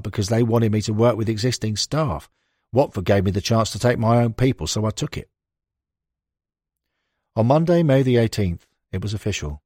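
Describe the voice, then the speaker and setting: A man narrates a non-fiction book about sport from a script. His voice sits fairly low and has a clearly husky grain. The sound is very dry, with no room around it. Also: darkish tone, dry recording. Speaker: audiobook narrator.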